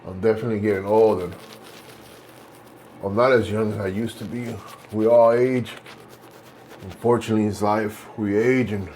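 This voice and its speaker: A man's voice: fairly low pitch, fairly even intonation, moderate pace, pauses often, a little mellow, slight background noise, low-quality recording. A man in his late forties chatting casually while shaving, rambling and correcting himself as he goes. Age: 40s. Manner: rambling, self-correcting, laid-back. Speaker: vlogger.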